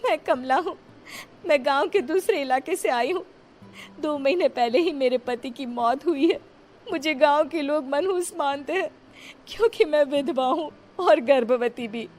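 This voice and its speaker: A woman's voice: sits high, measured pace, a little mellow, pitched above the performer's own voice. A woman voicing a young pregnant widow who pleads tearfully. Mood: sorrowful.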